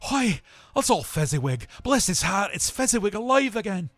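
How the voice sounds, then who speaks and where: A man's voice: mid-range voice, slow pace, a little mellow, soft background music, pitched above the performer's own voice, natural voice. A man voicing an old male miser who exclaims eagerly while reading a storybook aloud. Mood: excited. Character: old male miser, excitable when moved.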